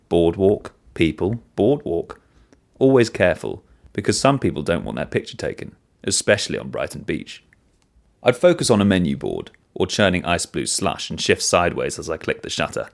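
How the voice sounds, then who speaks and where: A man reading a first-person short story as narrator, in an expressive storytelling manner. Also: sits low.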